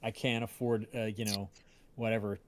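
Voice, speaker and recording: A man's voice: on the low side, little pitch movement, normal speed, slight background noise. A man hosting a casual livestream, talking in a rambling, hesitant way. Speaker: audio drama creator hosting livestream.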